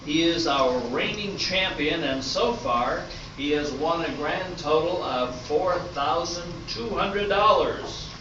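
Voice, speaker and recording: A man's voice: fairly high pitch, slow pace. A man playing a slick, showy game show host and announcer in an amateur radio play. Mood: showy, upbeat. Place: hall at a live arts festival performance. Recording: distant, echoey, noisy dull live recording.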